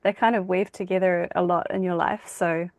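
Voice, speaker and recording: A woman hosting a podcast, asking questions warmly and encouraging her guest with quick affirmations and easy laughter. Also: fairly low pitch, steady tone, dry recording. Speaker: podcast host. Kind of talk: casual interview.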